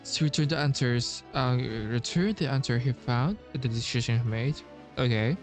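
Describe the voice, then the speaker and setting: A man giving casual gameplay commentary as a streamer, musing in a halting way and repeating bits of dialogue. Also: medium pitch, faint music behind. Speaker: game streamer.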